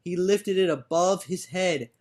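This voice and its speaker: A man reading a storybook aloud as a dramatic, theatrical storyteller who moves between hushed and loud delivery. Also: high voice, unhurried. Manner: theatrical, swinging from hushed to shouting. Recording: orchestral music behind the voice. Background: orchestral music.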